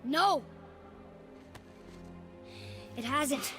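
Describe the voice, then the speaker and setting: A high, youthful boy's voice, earnest and defiant, in a video game cutscene. It rings with long reverb over prominent music. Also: lively intonation, takes it slowly, fairly bright tone, heavily acted. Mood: defensive. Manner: earnest, inquisitive. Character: young boy, earnest, defiant, eager son of a warrior. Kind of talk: cinematic video game acting.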